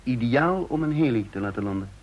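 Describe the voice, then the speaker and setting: Casting: male actor as brisk, methodical spy chief, deliberate with small pauses, medium pitch, dull-sounding radio drama recording. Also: animated, close to an everyday voice. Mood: explaining. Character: brisk, methodical male head of a counterintelligence service. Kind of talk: Dutch radio play acting.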